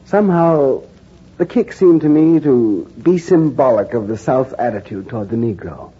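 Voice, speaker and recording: A grave, measured reporter. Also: male voice, medium pitch, expressive, warm tone, husky. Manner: measured, grave first-person narration. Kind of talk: 1940s radio drama narration. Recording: dull 1940s radio broadcast recording with music.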